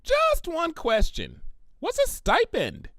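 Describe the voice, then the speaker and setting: A man voicing a bouncy, excitable, scatterbrained young female pony who rambles and exclaims naively in a cartoon fan fiction reading. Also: very high-pitched, slow pace, no echo, clean studio sound, voice pushed up, exaggerated voice. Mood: curious.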